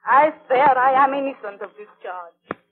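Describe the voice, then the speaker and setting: A frightened accused young servant. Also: female voice, high voice, expressive, normal speed, slightly rough. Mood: pleading. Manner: pleading, defiant. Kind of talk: 1930s radio drama acting. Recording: tinny lo-fi 1930s radio transcription.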